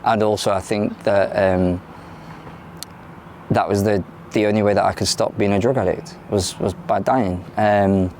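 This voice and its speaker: A man in his thirties with a London English accent talks candidly in an interview, his voice sitting fairly low. He speaks plainly and openly, pausing and restarting often as he gathers his thoughts. A faint hum of noise sits behind him. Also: fairly even intonation, darkish tone. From Ireland. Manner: frank and plain-spoken, with frequent hesitations and restarts. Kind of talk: candid personal testimony.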